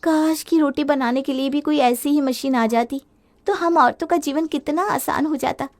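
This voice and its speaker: Woman playing a high-voiced, opinionated young daughter-in-law. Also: normal speed. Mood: wishful. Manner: chatty, complaining, argumentative. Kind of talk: acted Hindi family moral story.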